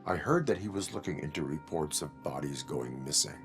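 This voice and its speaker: An actor playing a stern cyborg police officer in a video game, speaking in formal, stiff, by-the-book phrases. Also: male voice, extremely low pitch, animated, a little fast, faint music behind.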